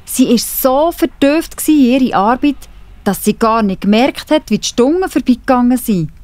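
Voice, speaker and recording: A woman's voice: high-pitched, expressive, normal speed, a little mellow, no room sound. A woman with a Swiss German accent narrating a scripted story for children.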